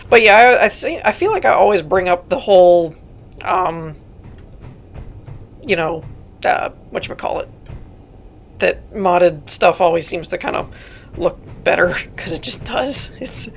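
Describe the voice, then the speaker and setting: Hoarse raspy woman rambling through gameplay. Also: on the low side, slight background noise. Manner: rambling, chatty, self-deprecating, many ums. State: hoarse from a cold. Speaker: gaming channel host. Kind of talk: casual gameplay walkthrough commentary.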